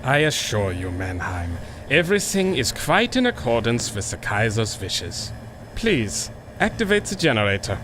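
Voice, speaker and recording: An actor playing a proud, confident German baron and war officer, suave and commanding with a German accent in a radio drama. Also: male voice, medium pitch, sing-song, normal speed, a bit bright, a little hiss or hum. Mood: courteous, commanding. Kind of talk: radio adventure drama acting.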